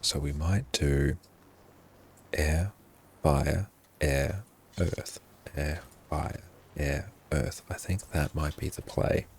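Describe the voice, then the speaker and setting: Very deep, gravelly man talking hushed. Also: takes it slowly, long pauses, a little hiss or hum. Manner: hushed, half-whispered talk; friendly, thanks viewers often. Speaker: livestreamer. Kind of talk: gameplay livestream commentary.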